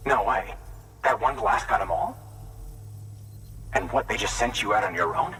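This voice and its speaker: An actor playing a weary, excitable way station keeper in a video game cutscene, rambling and emotional in colloquial speech. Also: male voice, lowish voice, moderate pace, far from the mic, noisy background, natural voice. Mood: anxious questioning.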